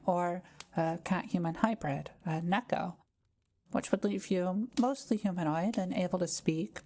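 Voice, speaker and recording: Kind forest witch played by a woman for audio roleplay: scholarly, measured, lowish voice with a creaky edge. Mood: patient, reassuring. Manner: gentle, measured, explanatory. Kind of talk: intimate audio roleplay, conversational.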